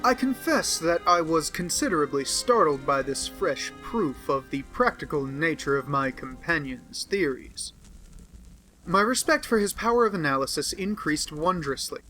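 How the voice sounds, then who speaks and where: Male narrator, measured reading, bright and slightly high. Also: expressive, faint music behind, low-quality recording. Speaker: audiobook reader. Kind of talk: scripted narration. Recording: home recording.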